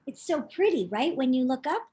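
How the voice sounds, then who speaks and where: A woman reading a children's book aloud in an expressive, playful storytime style, chatting to young listeners as she goes. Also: sits high, a little fast. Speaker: author reading her own children's book.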